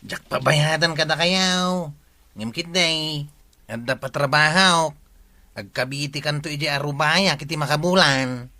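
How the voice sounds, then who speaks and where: Very sharp-voiced Ilocano villager. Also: male voice, on the high side, unhurried. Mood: bantering. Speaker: actor in a radio comedy drama. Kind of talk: Ilocano radio comedy-drama acting.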